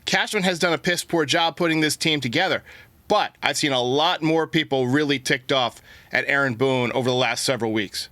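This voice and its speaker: Bright, forceful man hosting a sports podcast. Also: medium pitch, brisk, no room sound. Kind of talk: opinionated baseball commentary and listener voicemail replies.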